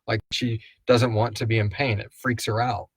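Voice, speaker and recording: A man's voice: fairly low pitch, flat delivery, normal speed, slightly rough, dry recording, rough recording. A man hosting a podcast, chatting casually and thinking aloud as he answers listener questions. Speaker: podcast host and creator. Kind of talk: casual live Q&A chat.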